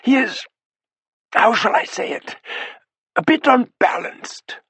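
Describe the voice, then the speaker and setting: A frightened, strained manservant. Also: male voice, very high-pitched, expressive, slow, a bit bright, dry recording, pitched above the performer's own voice, heavily acted. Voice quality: strained, muted, breathy. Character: frightened injured male manservant on the phone.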